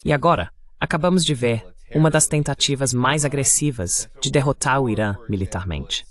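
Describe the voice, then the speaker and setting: A man hosting a dubbed political interview, laying out his view in a measured, explanatory way. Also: mid-range voice. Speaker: interview host. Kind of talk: political commentary, dubbed voice-over.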